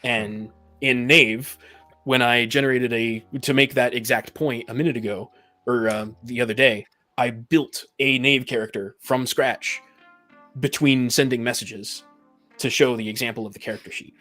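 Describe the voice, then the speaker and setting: A man with a mid-pitched voice speaks in a casual, analytical podcast discussion. He explains his points step by step and concedes where he might fall short. Light music plays underneath. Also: fairly even intonation, normal speed, bright, forceful tone. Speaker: podcast panelist. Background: background music.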